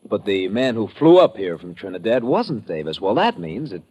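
An actor playing a helpful, informal immigration officer with a husky voice in an old radio drama. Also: male voice, lowish voice, sing-song, normal speed, darkish tone. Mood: matter-of-fact. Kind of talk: 1940s-50s radio drama acting.

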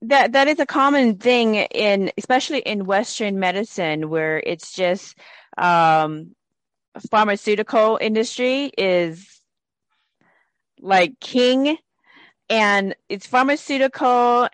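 A woman hosting a casual interview, an energy healing practitioner who speaks in a measured, reflective way. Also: mid-range voice, no echo.

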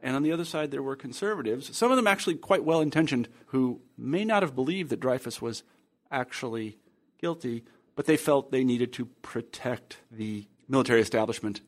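A man's voice: mid-range voice, expressive, fairly quick. An American man from the Midwest with a general American accent, hosting a history book interview in a conversational way with many fillers and restarts. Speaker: podcast host. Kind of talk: interviewing an author.

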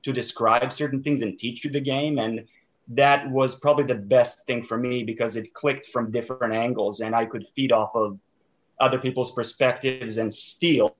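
A young man from Serbia speaks as an interview guest in a mid-range voice. He talks reflectively, pausing and restarting often as he works out his thoughts. The audio is dull and a little lo-fi. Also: medium pitch. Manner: thoughtful, hesitant, many fillers and restarts.

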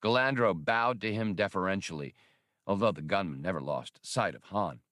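A man's voice: low voice, moderate pace, fairly bright tone, no room sound, clean studio sound. A man narrating a scripted adventure story as an audiobook reader.

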